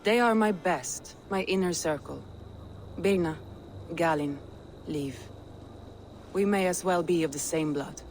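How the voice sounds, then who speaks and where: Proud, commanding Viking lord. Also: female voice, lowish voice, measured pace, pauses often, a little mellow, a little hiss or hum. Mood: proud, frank. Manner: commanding, guarded, earnest. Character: proud, resolute female Viking lord and war leader. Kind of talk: video game dramatic acting. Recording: music behind the voice.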